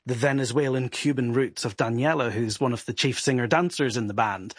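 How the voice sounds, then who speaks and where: A man, a guest reporter, explaining at length in a steady, informed way on a podcast. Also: mid-range voice, slightly rough, dry recording, studio quality.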